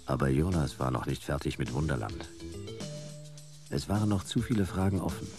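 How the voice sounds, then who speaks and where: A world-weary noir detective with an extremely low, creaky voice. He speaks in clipped, deadpan fragments as a radio-play narrator, with music behind him. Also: a little fast, heavily acted. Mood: brooding, laconic. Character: laconic hard-boiled private detective, wry and weary. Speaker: narrator and lead character. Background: music and vehicle sound effects.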